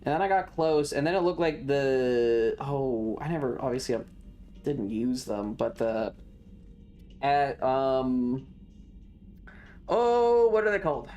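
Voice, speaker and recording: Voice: mid-range voice, expressive, slow, pauses often, warm tone, highs cut off. A streamer chatting casually while playing a video game, rambling along to themselves and the viewers. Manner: rambling, talks to self and chat. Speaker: streamer hosting a live stream.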